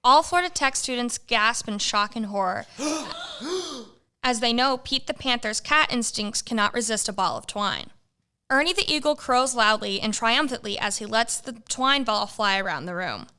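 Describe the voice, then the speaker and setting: A woman narrates a comic adventure in a brisk storybook style. Her voice is bright, smooth and somewhat high. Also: lively intonation.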